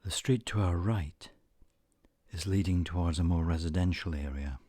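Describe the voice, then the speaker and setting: A man narrating a scripted guided meditation in a soothing, measured manner, leaving frequent pauses between short phrases. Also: low-pitched, dark tone, dry recording.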